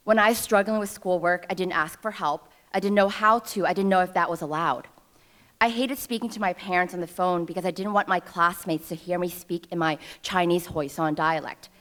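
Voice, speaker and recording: A woman in her thirties tells her own story from a ceremony stage, speaking into a podium microphone. Her voice sits a little lower than most women's. She has a wry, self-deprecating humor. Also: a bit bright. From New York City. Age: 30s. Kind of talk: personal storytelling speech. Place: ceremony stage before an audience.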